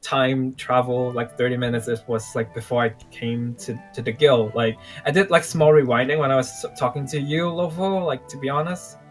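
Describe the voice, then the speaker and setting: A man playing an unsure young time-traveling mage in a game roleplay, talking in a halting, rambling way. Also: medium pitch, fairly even intonation, faint music behind, lightly acted. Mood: hesitant, unsure. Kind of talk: improvised video game roleplay.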